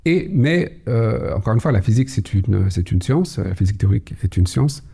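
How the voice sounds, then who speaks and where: Gravelly, dark-voiced man, measured and hesitant. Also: lowish voice, expressive. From Algeria, Kabylie. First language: Kabyle. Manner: slow, measured, many hesitations. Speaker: guest, cybersecurity head. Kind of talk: podcast interview.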